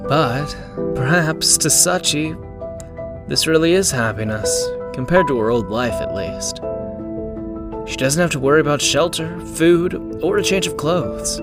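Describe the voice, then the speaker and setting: An actor playing a curious, prying young man in an anime-style manga dub, musing aloud and probing. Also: a little high.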